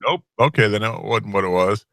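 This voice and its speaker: A man's voice: lowish voice, fairly quick, a bit bright, no room sound, studio quality. A man co-hosting a casual live chat show, playful and self-deprecating as he guesses along in a music quiz.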